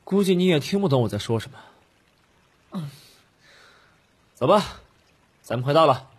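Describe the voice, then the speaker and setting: A man voicing a wary, brooding young male Taoist wanderer with a husky voice in an audiobook. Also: fairly high pitch, expressive, normal speed, pitched above the performer's own voice. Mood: weary. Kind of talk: audiobook character dialogue.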